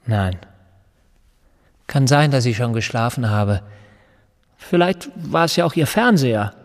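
An actor playing a self-assured English tutor and neighbour, with precise, measured wording, in a radio drama. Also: male voice, mid-range voice, lively intonation. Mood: guarded. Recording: long reverberant room.

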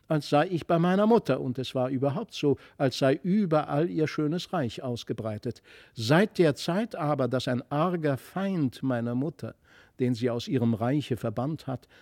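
A man with a standard German accent reads a literary fairy tale as an expressive, deliberate storyteller. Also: a little high, no echo, studio quality. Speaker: audiobook reader.

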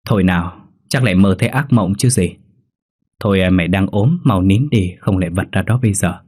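A man's voice: low voice, brisk, darkish tone, breathy edge. A man voicing a blunt but caring young female student in a horror story, bossy and colloquial, fussing over her roommate. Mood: soothing grumble.

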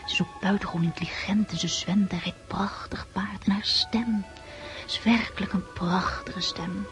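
An actress playing a dreamy, vain and impulsive teenage daughter of an aristocratic family in a radio drama. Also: female voice, mid-range voice, steady tone, normal speed, whispered, music in the background, natural voice. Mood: hushed, dreamy. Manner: girlish, whimsical, impulsive.

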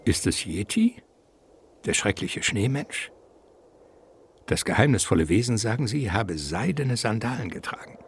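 A man narrating a radio play in an expressive, theatrical style, with suspense and irony. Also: on the low side, a little slow, pauses often, slight background noise.

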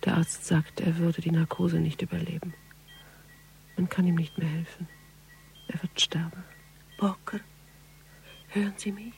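An actress playing a weary, grieving woman police investigator, brooding in a hushed, intimate German radio crime drama. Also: on the low side, level tone, unhurried, long pauses, a little hiss or hum. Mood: shaken, then tearful concern. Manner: hushed, breathy, half-whispered.

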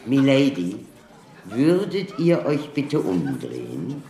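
A man's voice: mid-range voice, wildly swooping pitch, takes it slowly, dark tone, full character voice. A man in a German radio drama playing a courteous, persuasive showman of science with a grandiloquent, theatrical manner. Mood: suave, persuasive. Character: showman doctor giving electricity demonstrations, courteous, theatrical, persuasive. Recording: echoey hall with audience murmur.

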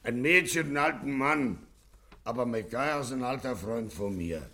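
An actor playing a stubborn middle-aged gangster boss in a 1950s radio play, gruff and demanding with a hard-edged gravelly voice. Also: male voice, mid-range voice, moderate pace, pushed and bright. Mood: gruff, defensive. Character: stubborn 55-year-old male gangster boss, blustering in jail.

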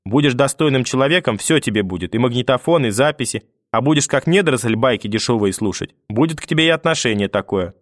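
A man's voice: medium pitch, lively intonation, fast, hardly any pauses, dry recording, clean studio sound, natural voice. A man voicing a spiteful, domineering old grandmother in an audiobook, scolding and cursing with sarcasm. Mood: scornful, insistent. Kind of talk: audiobook character acting.

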